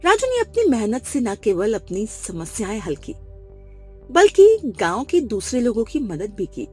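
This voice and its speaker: A woman's voice: fairly high pitch, huge pitch swings, a little slow, a little mellow, slightly rough, faint music behind. A woman narrating a scripted moral story for children.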